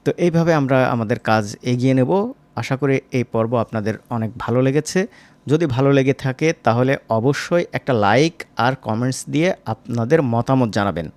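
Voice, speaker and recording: A man's voice: medium pitch, normal speed, a little mellow, dry recording. A man with a mid-range voice presents a screen-recorded tutorial. His delivery is casual and informal, and he addresses his viewers directly as he explains each step. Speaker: animation tutor.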